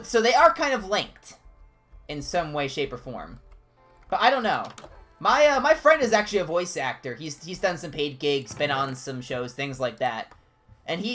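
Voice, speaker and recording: A man with a higher-pitched voice, chatting casually and discursively as a game streamer. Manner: animated, discursive, jumping between tangents. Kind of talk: casual rambling livestream chat during gameplay.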